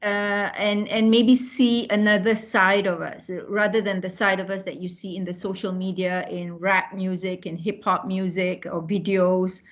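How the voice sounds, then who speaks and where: A woman's voice: mid-range voice, little pitch movement, unhurried, hardly any pauses. A woman hosting a group discussion in an encouraging, affirming manner. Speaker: book club host and moderator. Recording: dull lo-fi online call audio.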